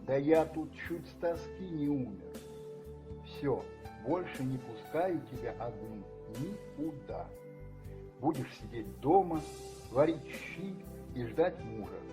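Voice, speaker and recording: A man's voice: medium pitch, expressive, takes it slowly, music in the background, voice pushed up. A man playing an affectionate, playful and easily flustered husband in a radio play. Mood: playful, mock stern. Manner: tender, lightly ironic, hesitant when uneasy.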